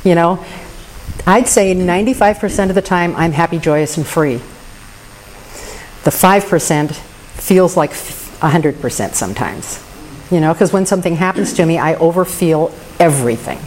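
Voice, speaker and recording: A woman's voice: on the low side, moderate pace, darkish tone, a little hiss or hum, low-quality recording. A woman speaking candidly and earnestly about her recovery, with touches of self-deprecating humor. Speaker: recovery meeting member. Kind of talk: personal recovery share.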